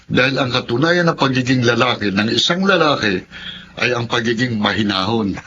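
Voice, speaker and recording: A cheerful, cheeky young son in a Filipino radio drama. His voice is bright, forceful and lively in pitch, on a muffled recording. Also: normal speed. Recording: muffled lo-fi with faint noise.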